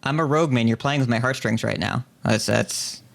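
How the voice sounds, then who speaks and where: A man's voice: medium pitch, a little fast, vocal fry. A man co-hosting a podcast with casual banter. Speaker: podcast co-host.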